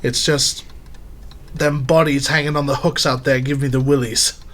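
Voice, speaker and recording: A man's voice: mid-range voice, flat delivery, moderate pace, a bit bright, slight background noise, natural voice. An actor playing a loyal, anxious working-class man with a British accent in an audio drama, earnest and nervous. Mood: nervous resolve.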